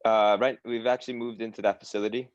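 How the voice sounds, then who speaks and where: Young man, Long Island accent, dull dry lo-fi sound, halting casual answers. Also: lowish voice, flat delivery, dry recording. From New York, USA. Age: young adult. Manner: hesitant, many fillers and restarts. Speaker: guest, academy footballer. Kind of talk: casual interview chat.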